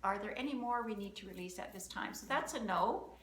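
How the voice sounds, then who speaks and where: A woman's voice: mid-range voice, a little fast, a bit bright. A woman acting as a practitioner and host, walking through an energy-healing session aloud and counting through options in a chatty, upbeat way. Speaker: emotion code practitioner and host. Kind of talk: live energy-healing demonstration, talking through steps. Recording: roomy, slight background noise.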